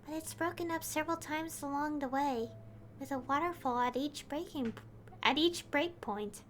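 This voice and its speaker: A woman voicing a calm, thoughtful young traveler who speaks reflectively. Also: high-pitched, moderate pace, a little hiss or hum. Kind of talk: fan voice-over of a game scene.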